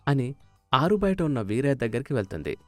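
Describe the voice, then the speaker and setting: A man's voice: medium pitch, big pitch swings, fairly quick, dry recording, faint music behind. A man narrating a scripted moral story as a storyteller.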